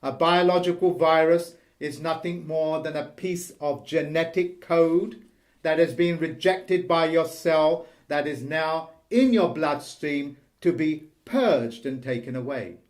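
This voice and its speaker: A man with a somewhat high voice talks at a slow, deliberate pace. He hosts the program and delivers his points emphatically, leaning hard on each phrase. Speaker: host. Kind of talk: emphatic monologue.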